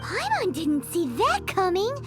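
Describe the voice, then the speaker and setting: A woman voicing a small, childlike, curious fairy companion in a video game, with a squeaky voice and exclamatory manner. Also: wildly swooping pitch, measured pace, heavily acted. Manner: speaks of herself in third person, exclamatory. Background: game music.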